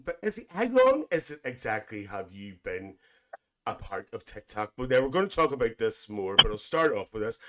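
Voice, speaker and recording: A man's voice: on the low side, pushed and bright. A man playing a chatty, teasing Northern Irish auntie who hosts a comedic interview podcast, repeating phrases and calling people dear. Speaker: podcast host.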